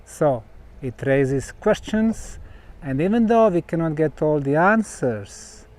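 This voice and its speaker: A man gives an explanatory talk as a researcher on location, speaking deliberately in short phrases with pauses. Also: fairly high pitch, expressive, darkish tone. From Bosnia. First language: Bosnian. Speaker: researcher presenting a site.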